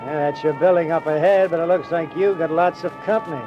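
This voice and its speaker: Man playing nosy high-pitched American cabbie. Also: steady tone, a little fast, music in the background, lightly acted. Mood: nosy, chatty. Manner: folksy, slangy, inquisitive. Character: nosy, chatty working-class American male cab driver. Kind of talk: 1940s radio drama acting. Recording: muffled old radio recording, street noise.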